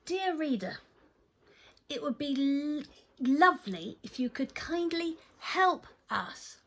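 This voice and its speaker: A woman's voice: sits high, very animated, slow pace, a bit bright, heavily acted. A woman voicing an earnest, polite little girl in a children's storybook, speaking expressively. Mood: polite pleading.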